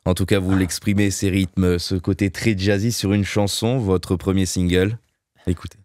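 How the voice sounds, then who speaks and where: A man's voice: deep voice, flat delivery, normal speed, darkish tone, no room sound, studio quality. A man hosting a radio interview, asking long, self-correcting questions. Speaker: radio host.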